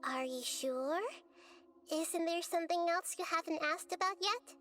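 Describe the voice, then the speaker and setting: A woman playing a small, wise young goddess in a game, speaking in a calm, measured, articulate and gently explanatory way. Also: extremely high pitch. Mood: grave, thoughtful. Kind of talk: scripted game dialogue. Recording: background music under the voice.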